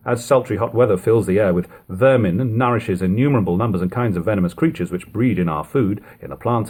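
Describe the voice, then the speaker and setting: Male narrator for classic literature: lightly husky tone, animated intonation, measured storytelling delivery. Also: mid-range voice. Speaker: audiobook reader. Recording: lo-fi home recording.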